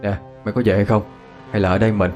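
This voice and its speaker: A man's voice: lowish voice, level tone, quick pace, a little mellow, soft background music, natural voice. A man playing a blunt, fed-up young male student in a ghost story, talking colloquially.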